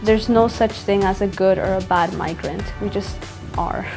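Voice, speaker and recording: A woman's voice: mid-range voice, moderate pace, over music. A young woman speaking earnestly in a documentary interview. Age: young adult. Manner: earnest, uses fillers like "like".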